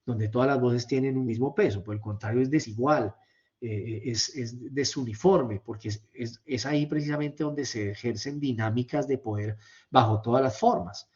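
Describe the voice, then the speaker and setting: A man's voice: medium pitch, normal speed, darkish tone. A man giving a university lecture over slides in a hesitant, explanatory way, often repeating words and checking in with tag questions. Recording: slightly dull, lo-fi microphone sound.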